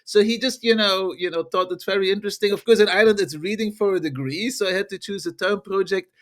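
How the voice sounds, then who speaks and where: High-voiced Belgian professor, lively. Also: male voice, quick pace. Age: middle-aged. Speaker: marketing professor, guest. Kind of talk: interview answers.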